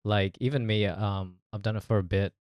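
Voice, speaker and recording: A man's voice: fairly low pitch, steady tone, moderate pace, darkish tone, no room sound. A man chatting casually on a podcast as one of the guests.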